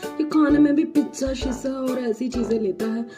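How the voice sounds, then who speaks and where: Woman acting proud cartoon lady, insistent, fairly high voice, clear music behind. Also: normal speed, close to an everyday voice. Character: proud woman defending her pampered pet goat. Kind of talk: cartoon story dialogue.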